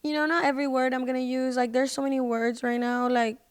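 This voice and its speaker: A woman's voice: high voice, level tone, fast, smooth, no room sound. A woman podcast guest chatting casually in a laid-back way, with slangy hip-hop phrasing. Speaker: guest, musician and social media personality. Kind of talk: casual chat. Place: podcast recording.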